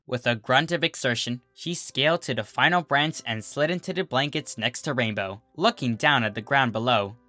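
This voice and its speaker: A narrator reads a story in a bright, clear-cut voice of middling pitch. The delivery is expressive and scripted, with music underneath. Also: no echo. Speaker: storyteller, fan fiction reader.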